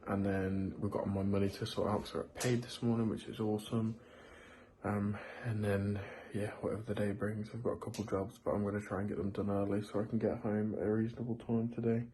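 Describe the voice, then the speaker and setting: A man's voice: lowish voice, flat delivery, brisk, dark tone. A 21-year-old man, talking candidly and rambling in a casual vlog diary while ill with a sore throat and sniffles. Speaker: vlogger.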